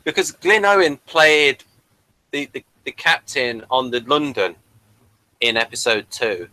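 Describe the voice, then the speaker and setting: A man co-hosting a casual fan discussion of a TV series, speaking in a hesitant, halting way and often repeating himself. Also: medium pitch, sharp tone, dry recording, rough recording. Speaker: podcast co-host reviewing a TV series.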